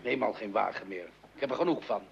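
A man's voice: on the low side, fast, creaky, slight background noise. A man playing a middle-aged, put-upon father in a radio play, dry and exasperated in his replies. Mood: weary, dry. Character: weary, exasperated family father, put-upon car buyer. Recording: dull old recording, loud audience behind.

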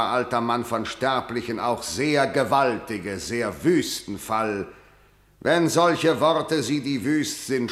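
A man's voice: medium pitch, fairly bright tone, echoey room. An actor playing a proud, scornful male tyrant king in haughty verse. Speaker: actor in radio drama. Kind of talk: stage verse drama.

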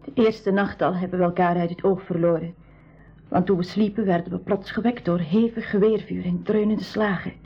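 A woman's voice: fairly low pitch, fairly even intonation, moderate pace, husky. Shy, frightened teenage refugee girl. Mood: frightened, subdued. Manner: hesitant, sparing with words. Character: shy, frightened seventeen-year-old refugee village girl. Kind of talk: 1980s school radio play acting. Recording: dull lo-fi 1980s radio recording.

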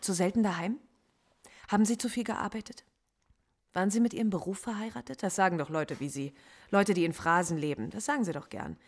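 A woman's voice: mid-range voice, a little fast, close to an everyday voice. A woman playing a defiant professor under interrogation. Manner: impassioned, lecturing, defiant. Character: female philosophy professor suspect, defiant, intense. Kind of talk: radio drama acting.

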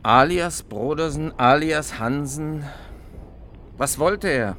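An actor playing a sceptical, short-tempered investigator in a radio drama, questioning in clipped, pressing phrases. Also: male voice, a little high, slow pace, a bit bright, slight background noise. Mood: puzzled, probing.

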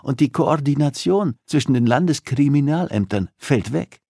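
A man's voice: medium pitch, lively intonation, moderate pace, vocal fry, mellow, slightly rough, dry recording, studio quality, pitched above the performer's own voice. A man narrating an audiobook, voicing a coolly persuasive, threatening female criminal who interrogates with insistence and condescension.

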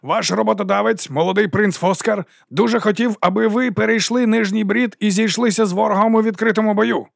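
A flamboyant old rogue of a mercenary captain, put on by a male audiobook reader, addresses his soldiers with theatrical pomp and sly self-mockery. The voice rides well above an everyday register, with a gravelly edge and a very dry, close sound. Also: sits high, steady tone, fairly quick, few pauses, no room sound, voice pushed up. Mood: rousing, sly. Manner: theatrical, self-mocking, grandiose orator. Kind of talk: audiobook character acting.

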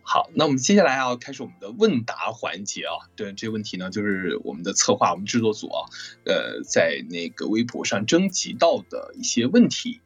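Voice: mid-range voice, sing-song, a little fast, breathy edge. A voice actor hosting a casual live chat, chatty and often repeating phrases. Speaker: host and voice actor.

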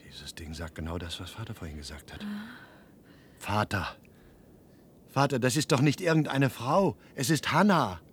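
An actor playing a troubled grown son in a radio play, earnest and imploring. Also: male voice, lively intonation, moderate pace, natural voice. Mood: puzzled. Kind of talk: German radio play acting. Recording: rumbly, noisy archive radio recording.

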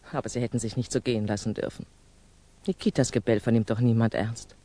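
An actress playing a composed, candid older female revolutionary in a 1960s German radio drama, measured, admonishing and probing. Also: rumbling low, dark tone, dry recording. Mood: probing, encouraging.

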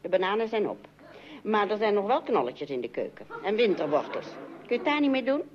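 An actress playing a brisk, practical middle-aged mother with a breezy no-nonsense manner, in old radio comedy style. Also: mid-range voice, big pitch swings, crowd noise, close to an everyday voice. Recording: muffled old radio recording.

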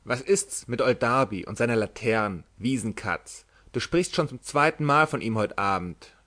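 A man's voice: medium pitch, lively intonation, moderate pace, fairly bright tone, lightly acted. A man reading the lines of a curious tavern drinker asking a question in a German audiobook. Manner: plain questioning.

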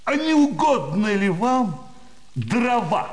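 A pompous, moralising gentleman in a radio play. His high male voice is slow and emphatic, swooping with indignation as he scolds, over light music. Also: sing-song, heavily acted. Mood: angry, scolding. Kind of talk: dramatised literary radio play in Russian.

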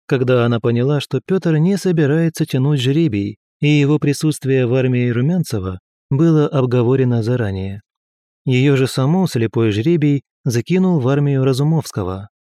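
A male audiobook narrator who reads in an even, measured way. His voice is mellow and darker in tone, at a middle pitch. The recording is dry and close, with no room sound. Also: no room sound. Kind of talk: scripted historical fiction narration.

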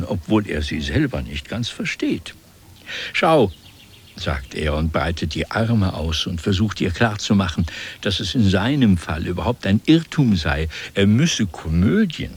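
Wry radio play narrator, low husky voice, very lively pitch, deliberate. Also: male voice, heavily acted. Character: wry, literary male storyteller narrating Casanova's excuses.

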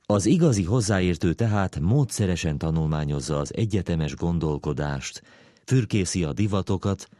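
A man reading a wry literary essay aloud in a measured, deliberate way. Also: sits low, lively intonation, mellow, no echo, clean studio sound. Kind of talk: dramatic reading of a humorous philosophical essay.

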